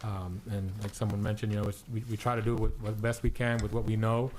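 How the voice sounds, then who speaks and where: A man from Illinois talks quickly in a flat, lowish voice, giving closing remarks at a council meeting. His thoughts wander with plenty of fillers, over faint background noise. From Illinois, USA. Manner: rambling, many fillers and restarts. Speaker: city council member. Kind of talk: council member's closing comments. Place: city council chamber.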